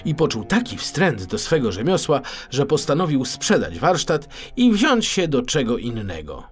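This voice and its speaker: A man reading a children's story as an expressive, theatrical audiobook narrator. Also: medium pitch, moderate pace, faint music behind.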